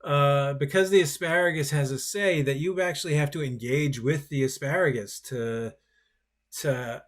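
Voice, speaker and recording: A man's voice: fairly high pitch, moderate pace. Man hosting a webinar over a video call, conversational and self-deprecating. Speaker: workshop host. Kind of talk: webinar presentation.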